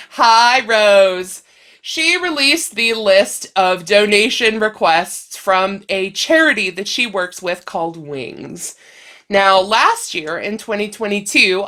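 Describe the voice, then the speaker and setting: A woman with a bright, forward voice chats casually as a craft vlog host. She takes her time and stretches words playfully for emphasis. A head cold leaves her sounding a little stuffy. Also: medium pitch. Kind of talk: casual chatty talk to camera.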